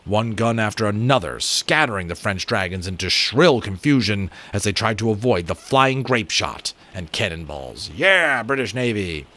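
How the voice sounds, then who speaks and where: A man in his thirties or forties giving an expressive, emphatic dramatic reading of an adventure novel. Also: fairly low pitch, normal speed, few pauses. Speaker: audiobook reader and channel host. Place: small room at home with bookshelves. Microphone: close desk microphone.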